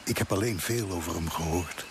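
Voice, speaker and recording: An actor playing a loyal, deferential colonial controller in a radio drama, with a polite, cautious manner. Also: male voice, sits low, fairly quick, creaky. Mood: defensive. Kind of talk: Dutch radio drama acting. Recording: noisy old radio play recording.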